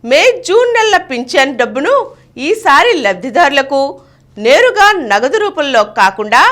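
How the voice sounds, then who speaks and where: High-pitched, animated woman newsreader. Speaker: news reader. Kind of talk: scripted news reading.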